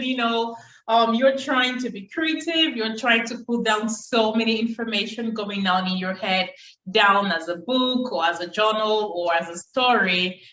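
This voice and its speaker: Gushing woman co-host, bright sharp voice, far off in an echoey room, muffled sound. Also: a little high. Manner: effusive, quick to affirm. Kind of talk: casual chat. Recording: echoey, distant, dull audio.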